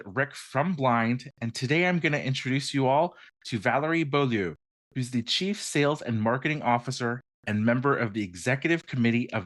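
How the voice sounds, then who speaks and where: A man's voice: mid-range voice, fairly bright tone. Man reading a scripted podcast intro. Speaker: podcast host.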